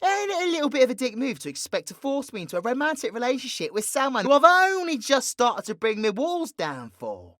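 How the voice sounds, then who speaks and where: A man's voice: very high-pitched, huge pitch swings, a little fast, hardly any pauses, fairly bright tone, no room sound, heavily acted. A British man plays a cheeky, brash supervillain in a comedy audio drama. He is slangy and foul-mouthed, with a mock-indignant swagger. The sound is dry, with no room around the voice. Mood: earnest, irritated. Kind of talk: audio roleplay comedy acting.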